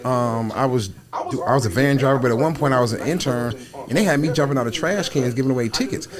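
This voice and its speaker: A man's voice: mid-range voice, fast, crowd noise. An American man chatting casually as a co-host, joking and slangy as he swaps anecdotes. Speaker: podcast co-host, radio host.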